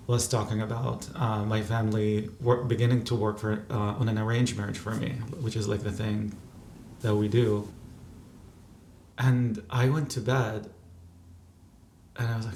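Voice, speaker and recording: A man's voice: on the low side, creaky, a little mellow, roomy sound, slight background noise. A man from Qatar in his thirties, answering interview questions thoughtfully, with fillers and restarts. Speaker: interview guest, physician and activist.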